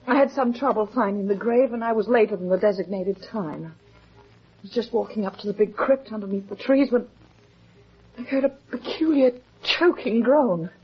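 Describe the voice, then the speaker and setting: An actress playing a frightened, defensive young murder suspect in a 1950s radio melodrama, halting and pleading. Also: female voice, medium pitch, close to an everyday voice. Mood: anxious confession. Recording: dull, muffled vintage 1950s radio recording.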